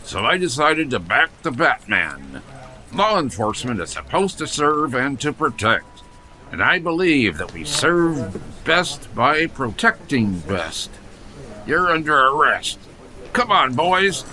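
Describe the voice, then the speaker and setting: A man playing a firm, principled police commissioner in an audio drama, speaking with gruff authority. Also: mid-range voice, sing-song, slow, sharp tone, full character voice. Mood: firm, resolute. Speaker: voice actor. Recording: faint background noise.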